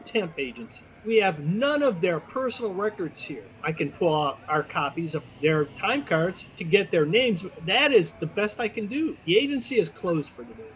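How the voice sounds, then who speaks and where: High-pitched officious store manager played by a man. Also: animated, moderate pace, hardly any pauses, a bit bright, rough recording. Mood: peeved. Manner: curt, officious.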